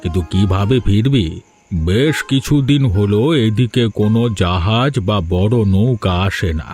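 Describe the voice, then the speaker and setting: A man's voice: lowish voice, a little slow, darkish tone, soft background music, lightly acted. A man voicing a stern but kindly hermit holy man in a cartoon. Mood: grave, kindly. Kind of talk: Bengali moral-tale cartoon dubbing.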